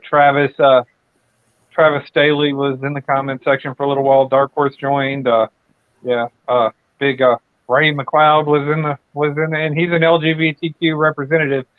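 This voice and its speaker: A man's voice: mid-range voice, clean tone, dry recording. A man hosting a late-night casual chat, rambling and profane, with lots of fillers and restarts. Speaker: channel host. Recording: tinny dry phone-like audio.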